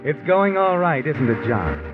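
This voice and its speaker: An American actor as an ominous, knowing narrator of 1950s radio suspense, deliberate and taunting, speaking directly to the killer. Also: male voice, on the high side, big pitch swings. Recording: tinny old radio recording with music.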